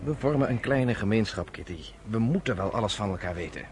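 A man acting as an earnest young underground fighter in a radio drama. His voice sits fairly low, and he speaks with measured self-assurance, as if setting out the facts. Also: expressive, a little mellow, slight background noise, natural voice. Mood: earnest, explaining. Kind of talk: Dutch radio play acting.

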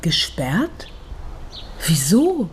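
An actress playing a worldly middle-aged woman doctor in a radio crime drama, a blunt, brisk friend with a sardonic, teasing manner. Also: on the low side, wildly swooping pitch, breathy edge, heavily acted. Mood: teasing, curious.

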